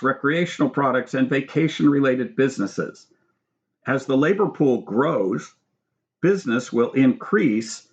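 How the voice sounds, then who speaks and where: Male author-narrator, medium pitch, measured formal read of scripted prose, slightly dull lo-fi sound. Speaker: author reading own book aloud. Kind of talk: scripted narration with brief spoken asides.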